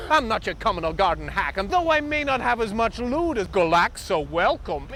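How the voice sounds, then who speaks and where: A man's voice: extremely high pitch, sharp tone, a little hiss or hum, voice pushed up, exaggerated voice. A man playing a brash, swaggering tough-guy rapper in a rhyming performance poem, boastful and theatrically menacing. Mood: swaggering threat. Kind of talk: rhyming performance poetry in rap cadence.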